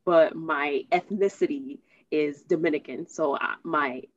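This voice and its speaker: A woman with an American accent and a fairly low voice hosts a casual interview. She feels her way through sentences, pausing and restarting as she searches for words. The recording is a little dull and lo-fi. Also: no room sound. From United States. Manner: halting, searching for words, repeats herself. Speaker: host interviewing a guest.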